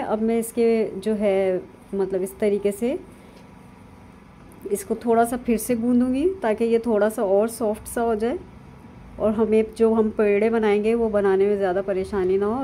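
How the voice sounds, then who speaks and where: Mellow-voiced woman explaining a recipe. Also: fairly high pitch, slight background noise. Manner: calm, instructive, with frequent fillers. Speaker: cooking channel host. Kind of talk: step-by-step cooking voice-over. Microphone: voice-over over hands-only video.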